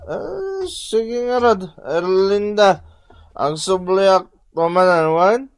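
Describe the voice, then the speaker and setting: A male actor playing a leering, coaxing village man with drawn-out, chuckling delivery and an Ilocano accent in a radio comedy drama. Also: high-pitched, expressive, clean tone, heavily acted. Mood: flirtatious. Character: leering, flattering older-brother village man.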